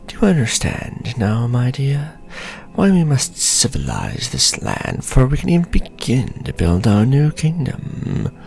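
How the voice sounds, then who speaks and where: A man playing a condescending, possessive aristocratic vampire lord in a fantasy audio roleplay, speaking in pompous, drawn-out formal phrases. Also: mid-range voice, wildly swooping pitch, gravelly, darkish tone, soft background music, heavily acted. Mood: disdainful.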